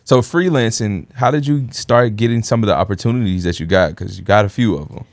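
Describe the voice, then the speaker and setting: Male podcast host, low gravelly voice, dry close sound, relaxed interviewer. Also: brisk, no room sound. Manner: laid-back, brief encouraging replies. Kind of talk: casual interview chat.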